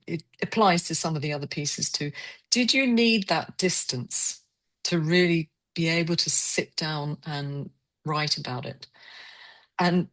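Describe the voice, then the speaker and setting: A woman's voice: sits low, a bit bright. A woman hosting a literary conversation, asking reflective questions in a tentative, searching way. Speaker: interviewer hosting a poetry salon.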